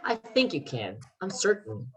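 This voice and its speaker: A woman performing in a readers theater as a crime writer, earnest and persuasive, speaking haltingly while pitching a scheme to save a friend. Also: very animated, rough recording. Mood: urgent, persuasive.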